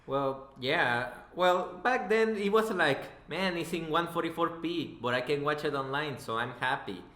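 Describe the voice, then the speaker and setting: Bright-voiced man hosting, in an echoey room. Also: on the high side, normal speed. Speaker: podcast host, interviewer. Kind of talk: casual chat.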